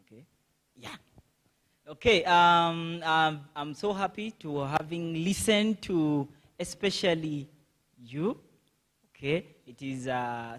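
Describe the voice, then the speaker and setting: A man moderating a conference panel, talking chattily with many fillers and repeated okays. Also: a little high. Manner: chatty, with frequent fillers and "okay". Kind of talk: event moderation. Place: conference hall.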